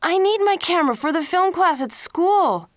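A woman's voice: lowish voice, steady tone, a little slow, vocal fry, no echo, clean studio sound. A woman reading the lines of a remorseful teenage boy apologizing to his parents in a storybook. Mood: pleading.

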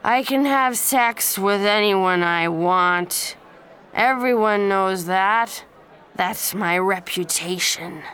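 A woman voicing a boastful, cruel young man who is drunk and slurs his words slowly. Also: mid-range voice, a bit bright, a little hiss or hum. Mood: drunken boasting. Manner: slow slurred drawl.

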